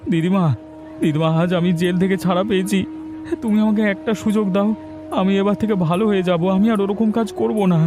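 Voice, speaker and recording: A man's voice: high voice, steady tone, fast talker, music in the background. A man voicing a whiny, remorseful young grandson who pleads, in a fairy-tale cartoon. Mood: remorseful pleading.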